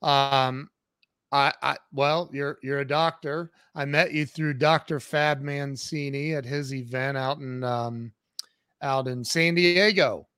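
A man hosting his own interview show, speaking haltingly and repeating words as he starts his sentences. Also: mid-range voice, steady tone, pushed and bright, clear, resonant tone, no room sound, studio quality. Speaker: podcast host. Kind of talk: interview chat.

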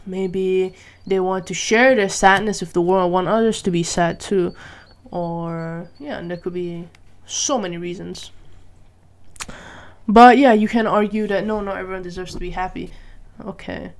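A woman from Malaysia talking through her opinions in a casual solo monologue. She thinks out loud, asks herself questions and keeps checking in with "right?" and "you know?". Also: fairly low pitch, steady tone, unhurried. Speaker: vlogger.

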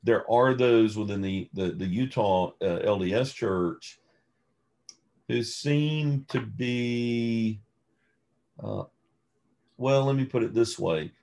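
Deep-voiced, slow-speaking man. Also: gravelly, a little mellow. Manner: measured, deliberate, scholarly. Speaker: guest, theologian and author. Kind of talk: thoughtful interview conversation.

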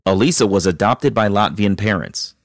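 Male host, lowish voice, calm measured narration, dry sound with soft music. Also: no echo. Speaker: podcast host. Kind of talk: scripted narration and interviewing.

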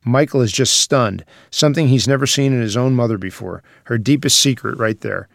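A man's voice: mid-range voice, brisk, creaky, no echo, studio quality. A man reading stage directions as scripted screenplay narration.

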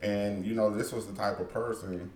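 Low-voiced, hesitant man in an echoey room. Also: steady tone, moderate pace, a little mellow. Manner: hesitant, agreeable, repeats himself. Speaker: podcast co-host. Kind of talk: casual podcast chat.

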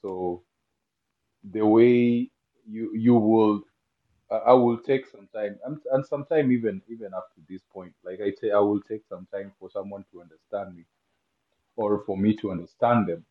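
A man with a Kenyan accent answers interview questions in a lowish voice, often stopping and restarting and breaking into easy laughter. The recording sounds dull and lo-fi. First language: not English. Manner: halting, many restarts, laughs easily. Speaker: guest. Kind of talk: interview conversation.

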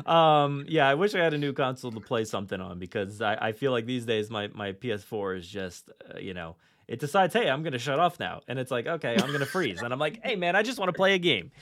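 A man's voice: mid-range voice, animated, brisk, fairly bright tone, dry recording, clean studio sound. A man hosting a podcast in casual fan chat, enthusiastic but often restarting and repeating his phrases. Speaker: podcast host.

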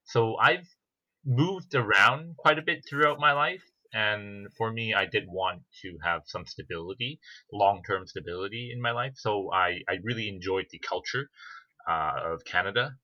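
Bright, halting young man, lo-fi audio. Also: on the low side, dry recording. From Hong Kong. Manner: earnest, halting, many filler pauses. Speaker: pharmacy manager, podcast guest. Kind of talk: casual podcast conversation. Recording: slightly dull lo-fi audio.

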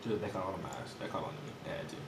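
A man's voice: deep voice, animated, very fast. A man of nineteen co-hosting a podcast, chatting loosely in slang and laughing often. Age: young adult. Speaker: podcast co-host. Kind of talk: casual chat. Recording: echoey room with background noise.

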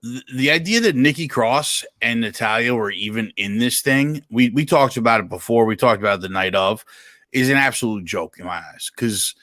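A man's voice: medium pitch, moderate pace, bright, forceful tone, no echo, clean studio sound. A man giving blunt, slangy opinions in casual sports podcast conversation. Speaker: wrestling podcast panelist.

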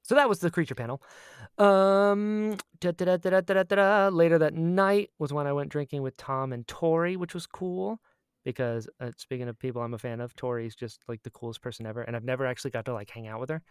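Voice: medium pitch, steady tone, a little fast, clean tone, dry recording. Enthusiastic, chatty podcaster, dry close sound. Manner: gushing fan enthusiasm, repeats phrases for emphasis; acts out quoted exchanges in storytelling. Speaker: podcast co-host. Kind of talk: casual chatty podcast catch-up.

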